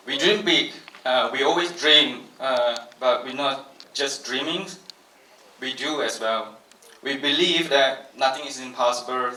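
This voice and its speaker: A man from Malaysia presenting his studio at a launch event, earnest and motivational, halting with many fillers. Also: medium pitch, pushed and bright, recorded from a distance, slight background noise. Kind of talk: stage presentation to an audience. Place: large event hall. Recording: echoey hall, voice from off-mic.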